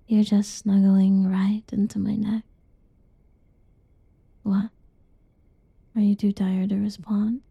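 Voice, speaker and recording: Whispering woman, slow and husky. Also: medium pitch, dry recording. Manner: soft whispering, teasing and cuddly; playful giggles between lines. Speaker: roleplay narrator. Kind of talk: ASMR roleplay.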